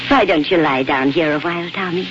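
A woman's voice: deep voice, a little fast, noisy background, lightly acted. An actress playing a tender, doting elderly mother in a sentimental 1940s radio drama style. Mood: tender, soothing. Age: older. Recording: muffled 1940s radio broadcast, loud music.

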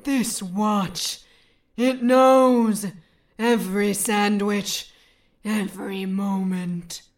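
A woman voicing a pompous, quick-to-rage male supervillain who delivers grand, drawn-out threats. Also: fairly even intonation. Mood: outraged. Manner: booming, drawn-out theatrical threats.

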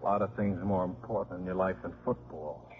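An actor playing a wisecracking, scheming football coach and father, brisk and slangy, in a 1950s radio drama. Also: sits low, a little hiss or hum, close to an everyday voice. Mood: firm, resigned. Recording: muffled vintage 1950s radio broadcast.

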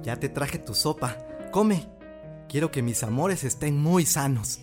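A woman with a Mexican accent playing a doting, excitable young village husband in an audio drama, affectionate and emotional. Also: low voice, a little slow, soft background music.

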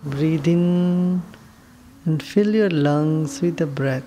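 A woman leading a guided meditation with soothing, unhurried instructions and long pauses. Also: low-pitched, dark tone, a little hiss or hum.

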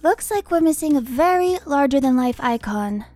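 A woman voicing a lively, party-loving young female friend in a children's comedy audio drama. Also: high voice, normal speed, soft background music. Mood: playful.

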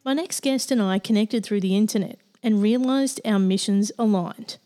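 Australian woman podcast host, mellow and warm, earnest measured read, soft music behind. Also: mid-range voice. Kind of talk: scripted podcast intro and interview questions.

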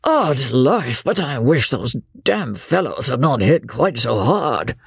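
A man voicing a languid, foppish English gentleman, weak but good-humoured, with a sleepy affected British drawl in a storybook. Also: mid-range voice, very animated, slow, creaky, slightly rough, no echo, clean studio sound, exaggerated voice. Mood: weary cursing. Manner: affected, amused, lazily understated.

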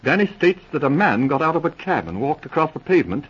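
An actor playing an eager, excitable police officer giving urgent reports in a 1940s radio drama. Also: male voice, on the high side, fast, breathy edge. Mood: earnest reporting. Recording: muffled old radio recording.

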